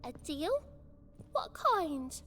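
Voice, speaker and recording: A woman's voice: high-pitched, sing-song, extremely slow, bright, forceful tone, soft background music, exaggerated voice. An actress voicing a mischievous, playful little girl godling in a video game, with a childlike, teasing British manner of speech. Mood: hopeful, eager. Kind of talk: video game character acting.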